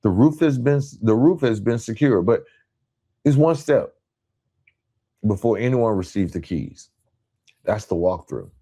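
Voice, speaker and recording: A man hosting a podcast, delivering a motivational monologue in a measured, emphatic way with rhetorical repetition. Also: on the low side, expressive, warm tone. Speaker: podcast host.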